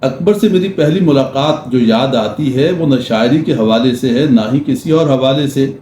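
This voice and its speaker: An older man giving a literary talk in measured, formal diction with deliberate pauses, reading prose and reciting verse. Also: mid-range voice, mellow. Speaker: lecturer. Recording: roomy echo with faint background noise.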